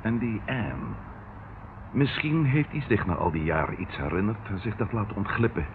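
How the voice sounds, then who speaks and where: An actor in a radio drama playing a plain-spoken police inspector, blunt and questioning in manner. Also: male voice, on the low side, very animated, normal speed. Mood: probing. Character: plain-spoken, lively male police inspector, partner detective. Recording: tinny, noisy old radio recording.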